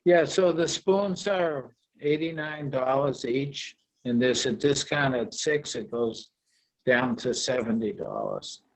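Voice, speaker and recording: A man presenting at a virtual conference and answering questions, halting with many fillers. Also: mid-range voice, very animated, poor audio. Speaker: presenter, product seller. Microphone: video call.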